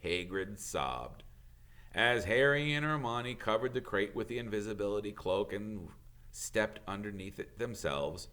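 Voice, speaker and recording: A man reading a children's novel aloud as a teacher would, in expressive storybook narration. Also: on the low side, unhurried.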